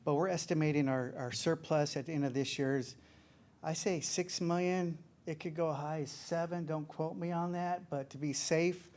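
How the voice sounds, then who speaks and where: A man presenting at a board meeting, speaking in a relaxed, chatty way with frequent ums. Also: a little high. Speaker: finance administrator presenting to a board.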